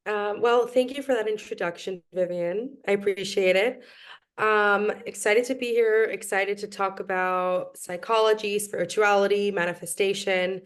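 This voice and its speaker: A woman's voice: mid-range voice, fairly even intonation, moderate pace, clean tone. A young woman from New York, a podcast guest who speaks in a reflective, casual way with frequent ums and restarts. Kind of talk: casual interview chat.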